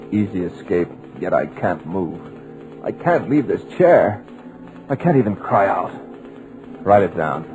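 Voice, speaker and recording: A man's voice: low voice, big pitch swings, slow, pauses often, exaggerated voice, poor audio. A man playing a hypnotized male writer in an old radio drama, narrating his own helpless terror in urgent bursts. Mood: desperate. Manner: breathless, urgent inner narration. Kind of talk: 1940s radio drama acting. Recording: old radio recording with music behind.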